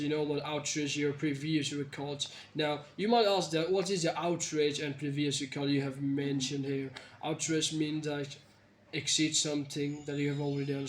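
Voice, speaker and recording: Young man, earnest rambling tutor, monotone, phone-recorded, faint room hum. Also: fairly high pitch. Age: young adult. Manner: earnest, rambling with fillers and restarts. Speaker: English-speaking tips presenter. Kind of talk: casual spoken lesson to camera. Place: small indoor room, plain wall. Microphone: phone camera close up. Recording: echoey room with faint ambience.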